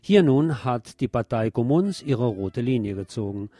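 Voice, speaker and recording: A man's voice: medium pitch, lively intonation, normal speed, dark tone, no room sound. A man presenting scripted radio news as a narrator, reading reports in an orderly way. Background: music under the voice.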